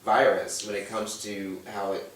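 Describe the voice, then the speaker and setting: A man's voice: on the low side, level tone, unhurried, slight background noise. A man speaking as a guest on a panel chat show, telling his story earnestly and acting out what others said. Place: room with a brunch panel. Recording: echoey room, distant mic.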